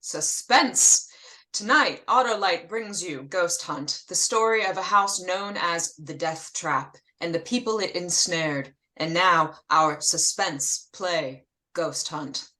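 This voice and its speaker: A woman's voice: lowish voice, takes it slowly, pushed and bright. A woman playing a grand, dramatic announcer for an old-time suspense radio show, with theatrical, portentous delivery. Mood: grand, ominous. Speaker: sponsor announcer in a radio play.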